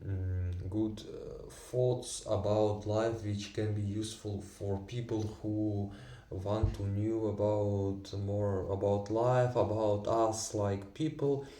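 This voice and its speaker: A man who speaks Tatar and Russian natively, talking in halting English with many fillers and restarts in a personal vlog. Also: lowish voice, fairly even intonation, dark tone, echoey room, distant mic. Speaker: vlogger. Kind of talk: unscripted personal monologue.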